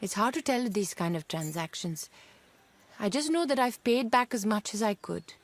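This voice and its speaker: An actress playing a proud, girlish young Indian wife in a radio drama, with a theatrical, coquettish and excitable manner. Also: medium pitch, normal speed, lightly acted. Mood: dreamy, excited.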